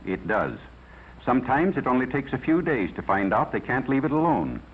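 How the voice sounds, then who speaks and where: A tinny 1950s film soundtrack carries a grave American judge with a husky voice. His pitch swings widely as he preaches and warns, driving points home with rhetorical questions. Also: very animated, normal speed. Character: grave, moralizing judge warning about narcotics. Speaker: narrator, playing a judge. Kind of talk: 1950s propaganda film narration.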